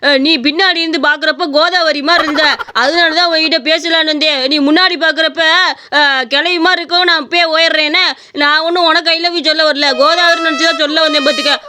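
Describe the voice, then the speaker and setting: A woman's voice: sits high, steady tone, fairly quick, bright, forceful tone, slight background noise. A woman playing a lovestruck, teasing village uncle with a blunt manner in a comic skit. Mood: mocking.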